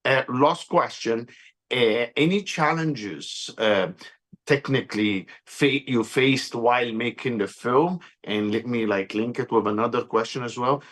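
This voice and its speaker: A man's voice: mid-range voice, a bit bright. A man from Egypt interviewing a film director, effusive with praise and loose in his phrasing. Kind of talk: enthusiastic interview questions.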